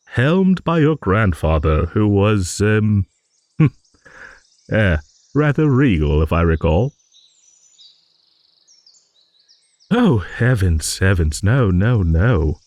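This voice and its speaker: Man voicing a low, slow, rambling old dragon. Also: a little mellow, no room sound, full character voice. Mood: musing, wistful. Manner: rambling, hesitant, genteel, with musing hums and pauses. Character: rambling old male dragon, gentle, courteous, bookish. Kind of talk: improvised fantasy audio roleplay monologue.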